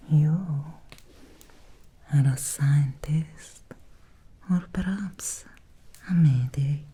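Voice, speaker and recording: A woman playing an ancient, possessive female vampire in an ASMR roleplay, speaking in a breathy, seductive whisper with lingering, deliberate pauses. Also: low voice, mellow, exaggerated voice. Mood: intimate, obsessed.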